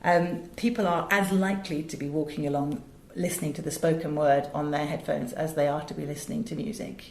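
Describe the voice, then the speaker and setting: A woman's voice: low-pitched, fairly even intonation, brisk, slight background noise. A middle-aged British woman chatting articulately and emphatically as an interview guest, telling anecdotes about her work. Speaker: interview guest, audio director and drama school teacher. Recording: roomy, echoey indoor sound.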